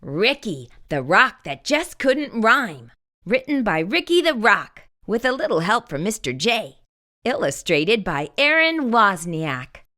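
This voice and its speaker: A woman hosting storytime, narrating a children's picture book in an animated read-aloud style. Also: mid-range voice, slow pace. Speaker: storytime host and reader.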